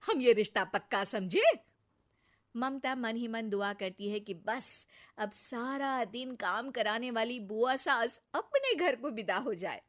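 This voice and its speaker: Female narrator for cartoon tales: high, bright, unhurried storytelling. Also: lively intonation.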